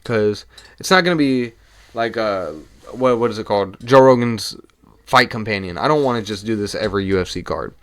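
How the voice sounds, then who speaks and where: A young man hosting his own solo podcast, talking casually and with swearing while hoarse and losing his voice. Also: on the low side, a bit bright. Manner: casual and profane, talks fast in bursts. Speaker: podcast host.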